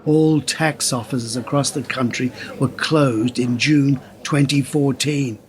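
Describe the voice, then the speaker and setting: An actor in a radio play playing an impassioned whistleblower, speaking in an emphatic, conspiratorial way. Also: male voice, mid-range voice, steady tone, slow, natural voice. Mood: grave, ominous warning. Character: impassioned male whistleblower pressing a wary journalist.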